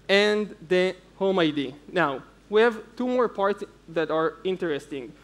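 Young man, high voice, technical conference talk, informal, step-by-step explanation. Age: young adult. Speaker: conference speaker, security researcher. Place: indoor stage at a conference.

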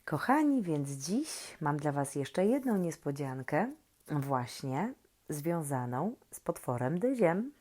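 A woman who hosts and reads stories for young children, gently and playfully, asking questions and leaving expressive pauses. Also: sits low. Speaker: children's story reader and host. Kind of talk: reading aloud to young children, talking to the listeners.

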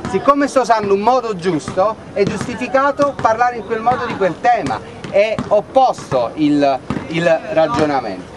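A man's voice: high voice, expressive, moderate pace, few pauses. A man in his thirties speaking earnestly to a crowd at an outdoor night meeting in a city square, often asking rhetorical questions, recorded by a camera at a distance. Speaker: activist at a public meeting. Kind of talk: impassioned speech to a crowd. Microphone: far camera.

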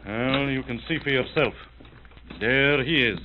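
An actor playing a terse, matter-of-fact police inspector in a 1930s radio drama, set back from the mic on a tinny, scratchy recording. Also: male voice, mid-range voice, a little slow, natural voice. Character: gruff, businesslike police inspector man.

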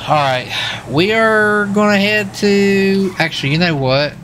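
A man's voice: high voice, measured pace, a bit bright, slight background noise. Folksy American man chatting in game roleplay. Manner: folksy, slangy. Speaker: streamer playing a police marshal in an online roleplay game. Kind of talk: casual in-game roleplay chat.

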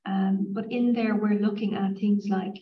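Dublin woman panelist, level even delivery, heard from across an echoey conference room. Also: medium pitch, unhurried. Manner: earnest, many "you know" fillers. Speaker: university panelist. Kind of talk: panel discussion. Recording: echoey room mic from far off, dull sound.

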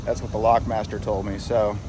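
Middle-aged American man over loud boat noise. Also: fairly low pitch, little pitch movement, a little fast. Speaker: solo boating vlogger. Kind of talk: casual vlog narration to camera. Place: outdoors on a small open boat on a river.

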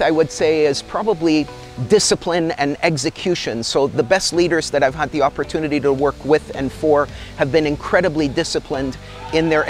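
A middle-aged man from Canada, a company executive, speaking in a measured and articulate way in a corporate interview outdoors in a garden. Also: fairly high pitch. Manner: measured, articulate, gestures while talking.

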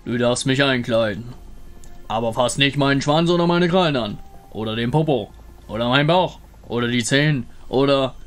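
Ein Mann spricht einen stolzen, prahlerischen Löwenkrieger in einem Fantasyspiel, der von sich in der dritten Person redet. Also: male voice, medium pitch, moderate pace, soft background music, close to an everyday voice. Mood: fussy. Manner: speaks of himself in third person, boastful. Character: proud boastful male lion-beast hunter.

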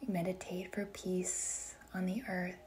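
A woman leading a guided meditation in a soft, breathy, whispery voice, speaking gently and deliberately with long pauses between short phrases. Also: lowish voice, little pitch movement. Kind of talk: guided meditation, slow and soothing narration.

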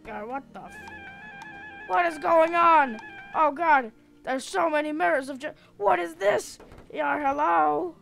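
A woman's voice: high voice, slow, vocal fry, bright, forceful tone, faint music behind, pitched above the performer's own voice, exaggerated voice. A woman voicing a panicky, bewildered pirate fox in a comedic cartoon skit, with frantic exclamations and pirate-like interjections. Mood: distressed, bewildered.

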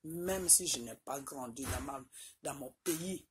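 Insistent low-voiced Ivorian woman. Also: unhurried, slight background noise. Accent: Ivorian French. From Côte d'Ivoire. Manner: insistent, repeats points with "Ok?" tags. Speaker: vlogger. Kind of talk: direct-to-camera personal address. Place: small room indoors. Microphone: phone camera at arm's length.

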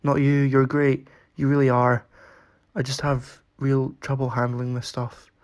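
Anxious young character for story audio, acted: hesitant, self-blaming, little pitch movement. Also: little pitch movement, a little mellow, natural voice. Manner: hesitant, halting. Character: anxious, apologetic, self-blaming socially awkward young person. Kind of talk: acted short-story dialogue reading.

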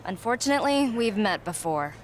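A woman's voice: medium pitch, expressive, brisk, a little hiss or hum, natural voice. An actress playing a cocky young female wrestler in a video game cutscene, speaking in a dry, teasing, confident way.

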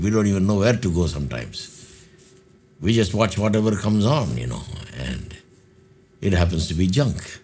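An older man with an Indian accent preaching a sermon to camera from a studio, speaking slowly and expressively with repeated phrases. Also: lowish voice, a little mellow, a little hiss or hum. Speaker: pastor. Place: studio with dark backdrop, indoors.

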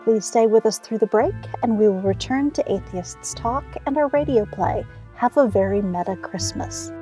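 A woman's voice: a little high, moderate pace, mellow, rough recording. A woman hosting a radio show, reading scripted announcements. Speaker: radio host. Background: faint music.

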